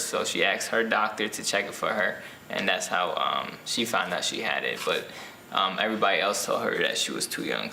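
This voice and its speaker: Bright-voiced young man with many ums. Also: low voice, gravelly, roomy sound, a little hiss or hum, low-quality recording. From Ethiopia. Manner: hesitant, many ums and restarts. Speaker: author being interviewed. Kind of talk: casual interview answers.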